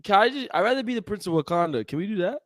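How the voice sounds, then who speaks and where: Animated, high-voiced man teasing his co-host. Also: rapid-fire, no echo, studio quality. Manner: teasing, incredulous questioning. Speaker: podcast co-host. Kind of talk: casual bantering chat.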